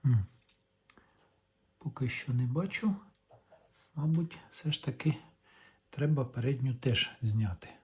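A man's voice: medium pitch, takes it slowly, pauses often, darkish tone. A man gives a casual, hands-on repair walkthrough, thinking aloud as he explains each step without hurry. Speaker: hobbyist electronics repairer presenting a video.

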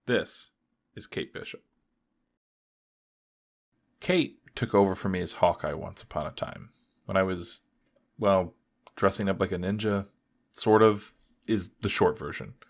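Man playing a low-voiced, deadpan superhero archer. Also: measured pace, pauses often. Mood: wry narration. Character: weary, wry male superhero archer. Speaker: voice actor narrating and playing lead. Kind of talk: motion comic voice acting.

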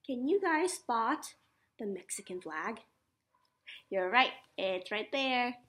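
High, animated woman reading to children. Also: slow pace. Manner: animated, instructive, addressing children with questions. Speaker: preschool teacher reading aloud. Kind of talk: children's picture-book read-aloud with sing-song refrains. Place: small indoor classroom.

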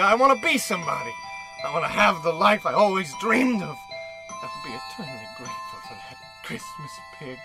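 Man playing old down-and-out vaudevillian tramp, folksy, rambling, swooping pitch, music behind, radio comedy. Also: full character voice, rough recording. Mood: amazed, pleading. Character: down-on-his-luck old tramp, failed vaudevillian, wistful and excitable. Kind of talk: old-time radio comedy play acting.

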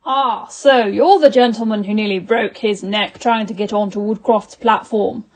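A woman playing a pompous, faintly menacing hunting gentleman in a 1930s radio drama. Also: mid-range voice, normal speed, a little hiss or hum, lightly acted, poor audio. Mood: smug, knowing.

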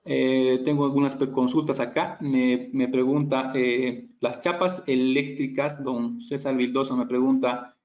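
A man giving a technical slide presentation as a product trainer, halting and explanatory, checking for agreement with tag questions. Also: mid-range voice, level tone, muffled recording.

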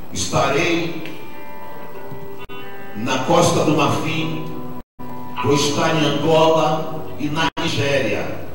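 A man in his thirties from Brazil, an apostle preaching a fervent Pentecostal sermon into a handheld mic in a large church hall. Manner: fervent, emphatic preaching, pitch surging on key words. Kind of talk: Pentecostal sermon with offering appeal. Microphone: handheld microphone. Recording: echoey church hall, distant mic, music behind.

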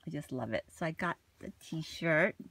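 A woman's voice: sits low, expressive, moderate pace, creaky, fairly bright tone, husky. A woman vlogging casually about her shopping haul, chatty and gushing, with plenty of "like" and "you know". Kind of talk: casual haul vlog chat.

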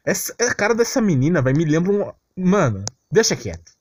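Exasperated Brazilian young man with swinging pitch. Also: big pitch swings, moderate pace, a little mellow. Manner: slangy, exasperated, complaining. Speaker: anime commentary vlogger. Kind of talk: casual unscripted ranting commentary.

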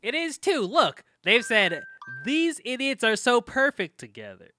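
An actor playing a young podcaster in a comedic audio drama, a teasing, pushy best friend who banters insistently and with emphasis. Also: male voice, extremely high pitch, very animated, unhurried, sharp tone, soft background music, heavily acted.

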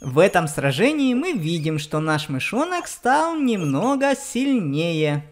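A woman's voice: on the low side, very animated, a little slow. A woman giving explanatory game commentary for children, speaking directly to her young viewers. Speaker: let's play narrator on a children's channel.

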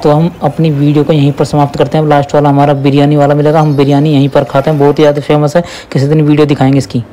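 A man's voice: fairly high pitch, little pitch movement, very fast, mellow. A young man from India giving a chatty running commentary while riding a motorbike through city traffic. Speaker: motovlogger. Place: on a motorbike on city roads outdoors. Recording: faint traffic noise behind. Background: traffic and engine sounds.

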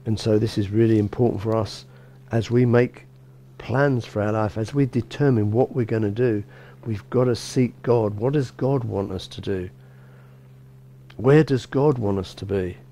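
A middle-aged man, a bishop, gives a relaxed sermon in a small church, talking conversationally with frequent restarts and "you know". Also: lowish voice, normal speed, a little hiss or hum. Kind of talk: informal sermon.

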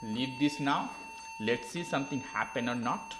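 A man's voice: mid-range voice, moderate pace, roomy sound, noisy background. A man hosting a tech tutorial, walking through a laptop reset step by step in simple, non-native English. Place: indoor desk.

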